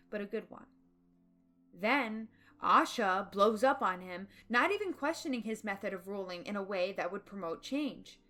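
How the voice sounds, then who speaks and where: A woman with a fairly high voice delivers an opinionated video essay over background music. She speaks emphatically and with some drama, then drops into casual, slangy phrasing. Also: a bit bright.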